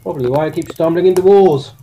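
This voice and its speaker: A man's voice: on the high side, fast talker, warm tone, slight background noise, natural voice. A man playing a bumbling, jolly old-school army colonel with an English accent and a stammering, old-fashioned upper-class manner in a comic audio drama. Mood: chatty, amused.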